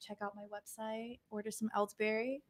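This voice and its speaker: A woman in her thirties introducing herself on a panel, quietly matter-of-fact. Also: on the high side, flat delivery, a little fast. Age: 30s. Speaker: panel guest.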